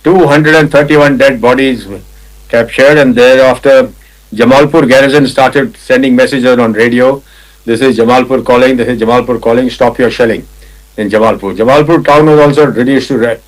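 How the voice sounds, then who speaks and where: An older Indian man, a retired army officer, recounting a battle in conversational detail, with a loud commanding voice, acting out quotes and often clearing his throat. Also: medium pitch, fairly even intonation, moderate pace, poor audio. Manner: loud commanding voice, vivid retelling with acted quotes. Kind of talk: conversational war storytelling.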